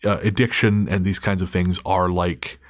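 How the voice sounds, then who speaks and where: A man with a deep, low-pitched voice hosts a podcast in a casual, candid way. He is thoughtful and pokes fun at himself. The sound is dry and close to the mic. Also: little pitch movement, moderate pace, dry recording. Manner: thoughtful, candid, self-deprecating. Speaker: podcast host. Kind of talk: casual podcast chat and read-out story.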